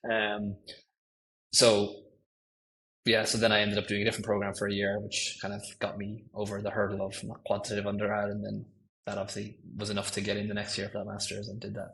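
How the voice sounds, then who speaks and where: A man's voice: on the low side, monotone, brisk, gravelly, a bit bright, poor audio. A man in his thirties with an Irish accent, chatting as a podcast guest, rambling with lots of ums and self-corrections. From Ireland. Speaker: guest, machine learning engineer. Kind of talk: casual podcast chat.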